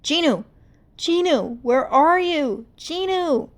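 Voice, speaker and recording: A desperate young half-demon girl from a storybook, acted out by a woman reader. Her voice is pushed high and loud, frantic and breathy as she pleads. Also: lively intonation, slow pace. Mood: angry.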